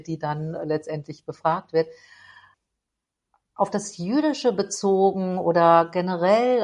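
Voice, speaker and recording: A middle-aged woman from Berlin speaks in a low, deep register, answering interview questions at length. She pauses often, fills gaps with hesitations and restarts her sentences as she gathers her thoughts. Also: a little mellow. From Berlin, Germany. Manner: hesitant, many fillers and restarts. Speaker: interview guest. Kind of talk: reflective conversational answers.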